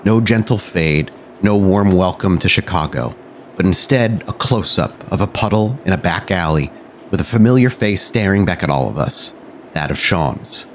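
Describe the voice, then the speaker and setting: A man's voice: sits low, expressive, normal speed, slight background noise. A man working as a game master, narrating a tabletop roleplay podcast with dramatic, cinematic scene-setting.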